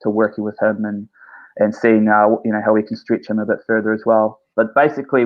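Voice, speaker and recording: A man's voice: on the low side, muffled recording. A man from New Zealand with a New Zealand accent, a head coach talking easygoing and hesitant in a remote interview. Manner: easygoing, hesitant, many fillers.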